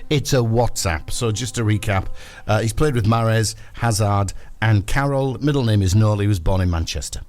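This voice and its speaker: A man's voice: lowish voice, moderate pace, a little mellow, faint music behind. A man hosting a breakfast sports radio show with casual, jokey, self-deprecating football banter.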